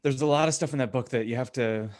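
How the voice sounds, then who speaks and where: A man's voice: mid-range voice, fast talker, a little mellow, no echo. Blunt man chatting in a dry-sounding space. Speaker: podcast co-host. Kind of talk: casual chat, opinionated.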